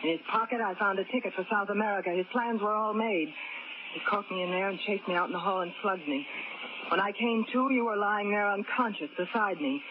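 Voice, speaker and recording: An actress playing a scheming, greedy young heiress in a 1940s radio drama. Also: female voice, medium pitch, fairly quick, lightly acted. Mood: bitter. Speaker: villain niece. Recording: tinny old radio broadcast with hiss.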